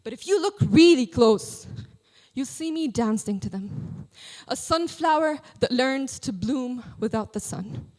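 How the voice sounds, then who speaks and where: A woman slam poet reciting in competition with a dramatic, emphatic delivery and deliberate pauses. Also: high voice, animated, darkish tone. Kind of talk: slam poetry performance. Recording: reverberant hall.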